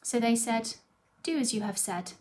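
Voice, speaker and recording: A woman's voice: a little high, normal speed, a little mellow. A woman reading a Bible passage aloud as a scripture reader, in a scripted narrative style.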